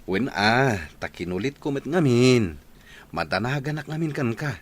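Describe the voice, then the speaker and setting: An actor playing a gentle, worried young husband and expectant father, with an Ilocano Filipino accent in radio drama style. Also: mid-range voice, animated, normal speed, close to an everyday voice. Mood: tender, caring. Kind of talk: radio comedy-drama acting.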